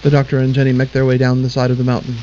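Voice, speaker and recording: A man's voice: medium pitch, completely flat delivery, racing, mellow, slight background noise. A man giving scripted narration for an audio drama in a plain, matter-of-fact way. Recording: slightly dull lo-fi sound over music.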